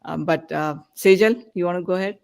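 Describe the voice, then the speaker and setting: A woman's voice: on the low side, brisk, creaky, darkish tone, smooth. A woman co-hosting a podcast panel, talking in an informal, chatty way. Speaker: podcast co-host.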